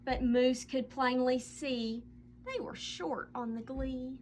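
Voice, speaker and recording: A woman reading a children's picture book aloud as a storyteller, with expressive, dramatic delivery and drawn-out emphasis. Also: sits high, a little hiss or hum.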